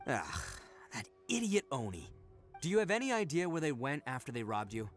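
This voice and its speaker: A man's voice: medium pitch, very animated, normal speed, soft background music, lightly acted. A man playing a proud, hot-headed young oni gang boss in a video game, cocky and theatrical. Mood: scornful, confident.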